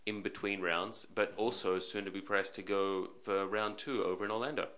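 A man's voice: lowish voice, steady tone, normal speed, fairly bright tone. A man hosting a podcast interview, asking long, rambling questions with repeated phrases. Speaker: podcast host.

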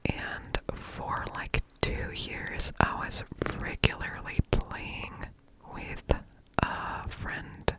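A German ASMR creator with an American-leaning English accent, telling a personal story in a soft unvoiced whisper with a slightly scratchy throat. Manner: unhurried, rambling with fillers.

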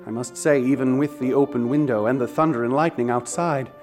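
An actor playing an eccentric ghost hunter in a radio drama, who speaks in formal, old-fashioned sentences. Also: male voice, mid-range voice, a little fast, darkish tone, lightly acted. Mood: ominous. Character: grave, eccentric male ghost hunter telling a dark tale. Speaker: witness recounting a haunting. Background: music.

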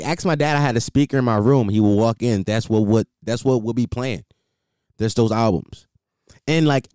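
A man's voice: fairly low pitch, a little mellow, no echo, clean studio sound. A young man rambling casually as a solo podcast host, repeating himself and filling with "like".

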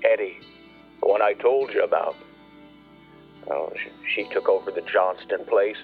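Radio play farmer: bitter, nostalgic, plain-spoken, unhurried, muffled sound with music behind. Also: male voice, lowish voice, creaky. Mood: subdued, pleading. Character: grieving, bitter rural farmer, proud and nostalgic.

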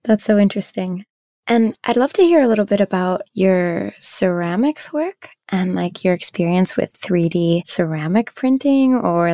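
Mellow, lowish-voiced woman interviewer. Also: no echo, studio quality. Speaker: interviewer, host. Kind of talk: casual interview questions.

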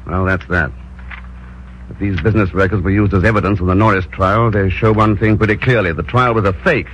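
An actor playing a suave, decisive secret agent, dry and clipped, in 1950s radio drama style. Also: male voice, sits low, steady tone, brisk, fairly bright tone. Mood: cool. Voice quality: dry, clipped, self-assured. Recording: dull old radio broadcast with music. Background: orchestral music.

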